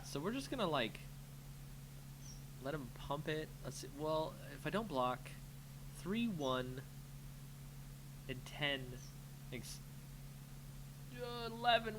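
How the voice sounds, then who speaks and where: A man's voice: fairly high pitch, sing-song, a little slow, long pauses. A man giving live gameplay commentary, thinking aloud in a casual, reactive, muttering way as he streams a card game. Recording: clear background noise with hum.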